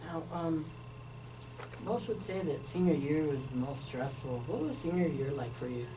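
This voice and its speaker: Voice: medium pitch, lively intonation, moderate pace. Off-mic radio interviewer. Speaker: radio host. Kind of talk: interview questions. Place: radio studio. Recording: distant mic with background noise.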